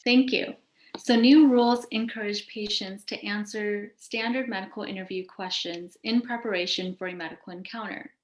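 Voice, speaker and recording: A woman with a mid-pitched voice hosts a panel and reads out scripted questions. The sound is roomy, with an audible echo around her words. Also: fairly even intonation, moderate pace. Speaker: host asking questions of a panel. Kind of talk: scripted interview questions, read out.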